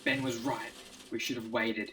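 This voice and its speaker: A man performs an earnest scientist who leads a team of heroes. He sounds authoritative and declamatory at a medium male pitch, in the dramatic manner of a comic-book story. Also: little pitch movement, moderate pace, fairly bright tone, slight background noise, lightly acted. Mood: grave. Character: earnest, commanding scientist leader, adult man.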